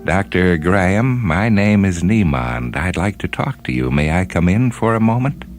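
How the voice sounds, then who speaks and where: Man voicing a small, earnest, higher-pitched stranger. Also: lively intonation, faint music behind. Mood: polite. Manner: measured, courteous, earnest. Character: small nondescript male stranger, polite, earnest, gravely warning.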